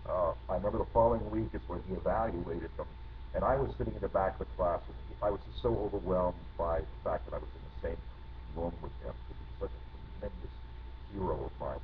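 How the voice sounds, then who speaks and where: A middle-aged man from New Jersey, a guest composer telling rambling, reflective anecdotes with many restarts. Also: deep voice. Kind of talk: storytelling interview answers. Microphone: video call. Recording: tinny lo-fi line with faint noise.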